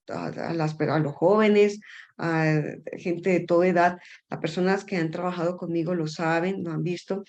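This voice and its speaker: A woman's voice: on the low side, a little mellow, dull audio. A middle-aged woman talking casually as an interview guest, often searching for words and repeating them. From Colombia. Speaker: interview guest, energy company founder.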